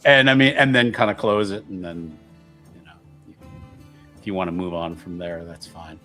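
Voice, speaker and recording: A man's voice: sits low, steady tone, normal speed, sharp tone, soft background music. A man playing a tabletop roleplaying game, casually improvising narration of what his character does.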